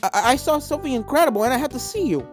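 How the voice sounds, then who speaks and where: Storybook role: young pegasus mare, acted by a narrator, brash, high and loud, excitable and emphatic. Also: very fast, faint music behind. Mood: excited.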